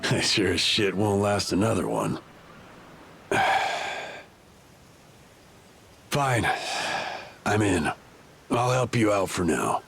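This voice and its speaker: A worn-down dad from a video game, low and gravelly. He talks slowly but with wide swings of tone, gruff, reluctant and hard on himself. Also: big pitch swings, fairly bright tone, slight background noise, heavily acted. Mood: grudging. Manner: weary, sighing, reluctant. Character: gruff, weary, self-deprecating father and bar owner.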